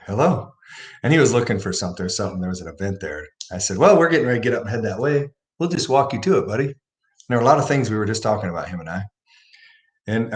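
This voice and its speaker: A man hosting a livestream, talking casually about faith and telling a personal story with rising emphasis. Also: lowish voice. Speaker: livestream host.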